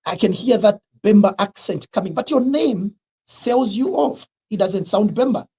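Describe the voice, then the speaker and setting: A man's voice: sits high, animated, normal speed, mellow, slightly rough. A man with a Zambian accent interviewing a candidate, asking direct, challenging questions and mixing in local-language phrases.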